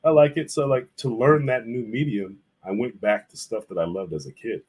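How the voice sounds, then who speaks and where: A man's voice: medium pitch, normal speed, darkish tone, slightly rough. A middle-aged man speaking casually as an interview guest, rambling through stories and acting out quotes as he goes. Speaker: interview guest, visual artist and art teacher.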